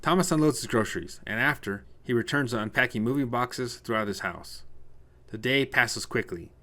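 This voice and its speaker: A man's voice: mid-range voice, normal speed, sharp tone. A man narrating a scripted short story as a storyteller.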